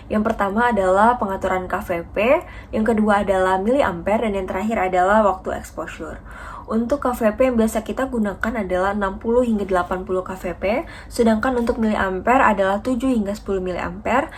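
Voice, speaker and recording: Young woman instructing, noisy recording. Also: medium pitch. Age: young adult. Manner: polite, methodical, formal. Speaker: dental student demonstrating a radiography procedure. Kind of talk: instructional skills demonstration, step-by-step explanation.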